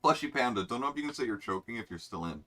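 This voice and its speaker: A young man rambles through casual game commentary in a bright, forceful voice. His pitch swings widely as he jokes and reads out player names. Soft music plays faintly behind him. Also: expressive, rapid-fire. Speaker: streamer.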